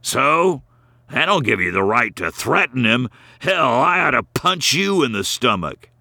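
A man's voice: mid-range voice, sing-song, measured pace, vocal fry, bright, forceful tone, no room sound, studio quality, voice pushed up, exaggerated voice. A man voicing an angry middle-aged father who bullies a department-store Santa.

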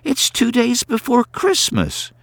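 A man voicing a patient young woman who gently chides her grumpy friend. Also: extremely high pitch, huge pitch swings, slow pace, creaky, dry recording, voice pushed up, heavily acted. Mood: chiding.

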